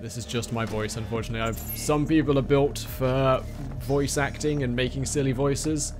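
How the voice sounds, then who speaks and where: A man with an even, monotone voice, chatting dryly through a live game stream. Game music plays clearly behind him. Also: mid-range voice, normal speed. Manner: dry, self-deprecating commentary while playing. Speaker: streamer playing a shooter game. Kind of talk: live-streamed let's play with lore reading.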